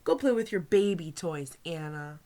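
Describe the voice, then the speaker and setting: A woman's voice: deep voice, normal speed, dark tone, pitched below the performer's own voice, lightly acted. Woman acting a bossy, scowling little boy. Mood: annoyed, bossy.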